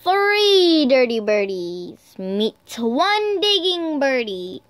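A woman reading picture books aloud for toddlers in a sing-song, deliberate, child-directed way. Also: sits high, sing-song, no echo. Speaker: storyteller, video host.

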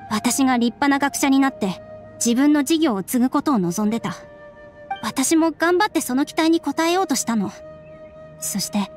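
A subdued young woman in an anime game. Her voice sits fairly high, measured and wistful, with serious resignation in it. Music plays behind her. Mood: resigned, bitter. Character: subdued, resigned young woman, estranged daughter of strict scholar. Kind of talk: Japanese anime game voice acting.

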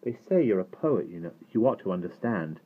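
The recording is dull and narrow. A man reads as an earnest English boy in a storybook, soft-spoken and placating, with a guileless, innocent air. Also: sits low, very animated, brisk, slightly rough, heavily acted. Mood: feigned innocence.

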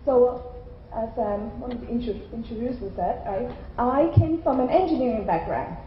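A woman's voice: on the high side, lively intonation, moderate pace, a little hiss or hum. A middle-aged woman who grew up in Ireland gives a conversational conference keynote into a handheld mic, often checking in with "okay?". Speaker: keynote speaker. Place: large hotel conference hall. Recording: roomy hall sound, slightly dull.

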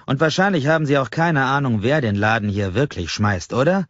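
A man's voice: medium pitch, brisk, no room sound, dull audio, voice pushed up, natural voice. A man voicing a short, stocky, pale middle-aged stranger who snaps at the listener with indignant contempt. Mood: scornful.

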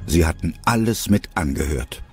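A man's voice: low voice, animated, moderate pace, creaky, over music. A man narrating a scripted adventure story as an audio drama.

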